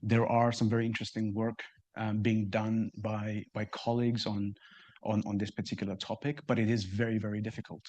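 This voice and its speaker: A man's voice: lowish voice, little pitch movement, fairly quick, a little mellow. A man presenting at a seminar and answering questions in a hesitant way, often stammering and repeating words as he goes. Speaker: research fellow presenting seminar. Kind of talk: seminar talk and audience Q&A.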